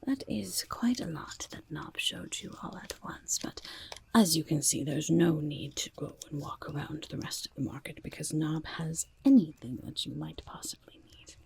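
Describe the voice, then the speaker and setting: A woman's voice: sits low, normal speed, a little mellow, low-quality recording. A woman voicing a sly, chatty goblin market peddler in a whispered ASMR roleplay, with wheedling salesmanship. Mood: coaxing, scheming. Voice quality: breathy, husky whisper with creaky edges. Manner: wheedling salesmanship, speaks of self in third person.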